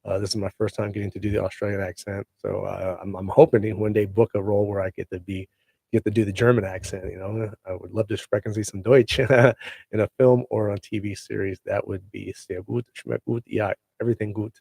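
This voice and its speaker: A man with a low, mellow, gravelly voice hosts his own show, chatting to viewers in a relaxed, slangy way and talking up his own news. The mic is held close to his face, and the sound is dry with no room echo. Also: fairly quick. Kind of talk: casual chat to viewers. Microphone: handheld mic held close to the face.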